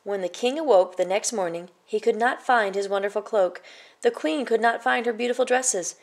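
A woman storyteller reading a children's picture book aloud in a measured, expressive way. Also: fairly high pitch.

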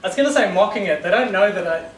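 A man's voice: sits high, lively intonation, very fast, a bit bright, slight background noise. A man in his thirties with a New Zealand accent, giving a casual, self-deprecating talk to a meetup in a small brick bar room, heard from a far camera. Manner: self-deprecating, joking, many ums. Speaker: statistics lecturer giving a talk. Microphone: far camera, no mic. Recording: echoey room from far off, crowd.

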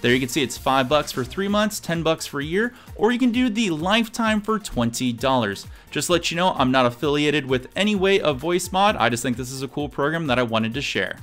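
An energetic man in his thirties presenting an upbeat scripted tech tutorial, addressing viewers directly. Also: fairly high pitch, brisk, few pauses. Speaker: video host. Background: music.

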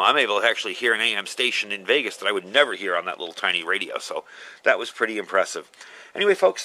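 A man's voice: on the low side, sharp tone, rough recording. A man giving a casual, hands-on product demo in an informal, folksy, explanatory way. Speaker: video host, product reviewer. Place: outdoors in a gravel yard. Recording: outdoor camera recording with ambient noise.

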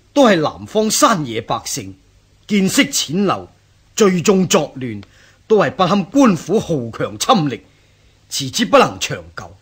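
An actor playing a defiant, bitter bandit chief in a radio drama, with a husky voice and a Hong Kong Cantonese accent. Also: male voice, a little high, fairly bright tone, full character voice. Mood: humbled. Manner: indignant, scoffing, rhetorical.

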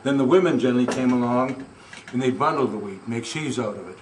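A man's voice: mid-range voice, fast, low-quality recording. Older man, museum guide, folksy rambling talk, barn tour, faint background hum. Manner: folksy, rambling, full of "you know" and "okay?". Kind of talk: casual explaining on a tour. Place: inside an old wooden barn. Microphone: camera mic, handheld camera.

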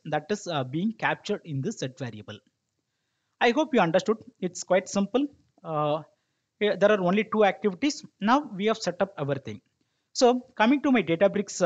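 High-pitched man teaching, dull sound. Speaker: tutorial instructor. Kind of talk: step-by-step software tutorial narration.

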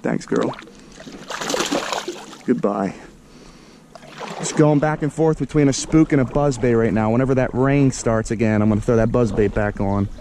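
An American man narrating his fishing trip from a kayak on a lake in casual, excitable commentary, talking straight to his viewers. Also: medium pitch, moderate pace, long pauses, noisy background. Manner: excitable, slangy, talks to viewers as "guys". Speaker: fishing vlogger. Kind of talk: casual running commentary to camera. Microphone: action camera mounted on the kayak.

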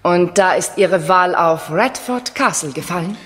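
An actress playing a haughty, shrewd English castle lady with a grand, measured manner in a 1970s German radio play. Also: fairly low pitch, animated, soft background music. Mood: poised, persuasive. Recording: reverberant castle hall acoustics.

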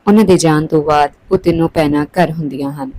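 Mellow, low-toned woman storyteller. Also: steady tone, fast. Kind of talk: scripted story narration.

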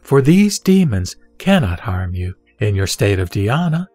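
A man's voice: on the low side, very animated, dark tone, no echo, faint music behind. A man reading the Buddha, solemn teacher. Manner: measured, solemn recitation. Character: the Buddha, calm wise male teacher. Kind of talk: scripted scripture reading.

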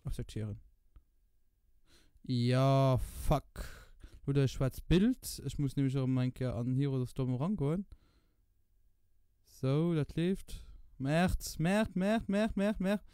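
A man with a Luxembourgish accent giving casual gameplay commentary, excitable and repeating words in quick bursts. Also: medium pitch, clean tone, no room sound, clean studio sound. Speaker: gamer commentating own play.

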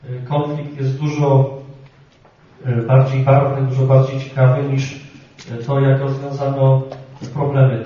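A middle-aged man speaking at a meeting through a handheld microphone, steady and hesitant, with frequent fillers. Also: mid-range voice, slight background noise. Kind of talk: public address at a meeting. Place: hotel conference room. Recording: roomy, distant, dull hall sound.

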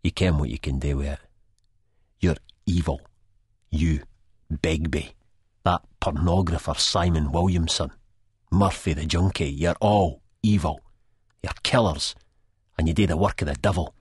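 Guy doing a preachy scottish ex-junkie man, low husky voice, rants hellfire at you. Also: a little slow, pauses often, dry recording, clean studio sound, heavily acted. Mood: accusing. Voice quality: fervent, preachy, shouting. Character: ranting born-again Scottish ex-junkie man.

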